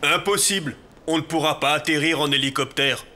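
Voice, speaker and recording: A man's voice: on the high side, brisk. A man voicing an eager, helpful animal helicopter pilot in a children's cartoon. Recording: loud helicopter noise behind.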